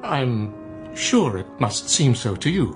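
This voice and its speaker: A man's voice: mid-range voice, very animated, takes it slowly, faint music behind. An actor playing a formal, evasive male robot butler in a radio drama, speaking stiffly and deferentially.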